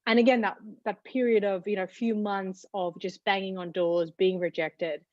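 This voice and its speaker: A woman's voice: mid-range voice, fairly even intonation, normal speed, no room sound, highs cut off. A woman in her thirties with an Australian accent, answering interview questions reflectively with many fillers and restarts. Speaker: guest.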